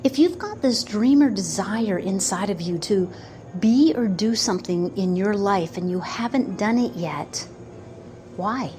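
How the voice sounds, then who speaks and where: A woman in her fifties giving a slow, earnest motivational talk about manifesting, pausing often between phrases. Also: medium pitch, lively intonation, a little mellow, slight background noise. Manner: slow, pausing, earnest, quotes doubters in put-on voices. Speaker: manifestation coach.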